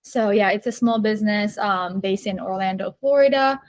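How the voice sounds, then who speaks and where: A woman's voice: medium pitch, normal speed, no echo. A woman in her twenties from Japan, a small business owner chatting as a podcast guest, full of "like" and "you know" and easy laughs. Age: 20s. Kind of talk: casual interview conversation.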